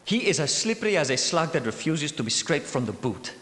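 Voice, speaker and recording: Wily knight in an echoing hall. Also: male voice, a little high, big pitch swings, fairly bright tone. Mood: grave, wary. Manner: formal, archaic, measured diction. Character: cunning male Grail knight, outwardly timid and nervous, secretly scheming. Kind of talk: theatrical video game character dialogue. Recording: echoey hall with long reverb.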